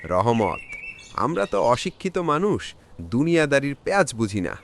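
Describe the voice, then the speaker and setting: Picture a man acting an agreeable older villager in a cartoon drama. He speaks folksy rural Bangladeshi Bengali in a mid-range voice with very lively intonation. A little noise is heard behind. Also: mid-range voice, normal speed, gravelly. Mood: resigned, agreeable. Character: simple, agreeable older village uncle, folksy and worldly-wise. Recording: faint background noise with clicks.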